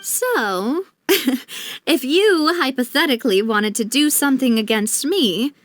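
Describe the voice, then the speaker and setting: A woman's voice: high-pitched, lively intonation, slow, fairly bright tone, exaggerated voice. An actress playing a determined, playful young woman investigator in a video game, polite and confident. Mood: amused, probing. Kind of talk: video game dialogue acting.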